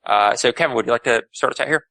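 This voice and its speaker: Fast-talking, bright-voiced male podcast host. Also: medium pitch, creaky. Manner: jokey, teasing banter. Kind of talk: casual podcast chat.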